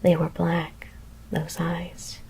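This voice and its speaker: A woman whispers a horror story in a hushed, deliberate ASMR reading. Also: fairly low pitch, monotone, vocal fry. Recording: faint background noise.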